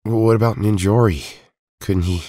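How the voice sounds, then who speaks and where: Deep voiced serious hero guy played by a man, audio drama, steady n measured. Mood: earnest, resolute. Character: Tommy, steady, earnest male Power Ranger hero from another world.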